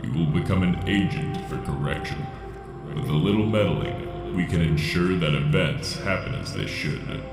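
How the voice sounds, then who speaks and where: A man's voice: deep voice, moderate pace. A man playing an ancient, arrogant machine construct who speaks formally and pompously in a sci-fi drama. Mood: grave, portentous. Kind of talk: dramatic sci-fi audio acting. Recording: echoing voice under prominent music.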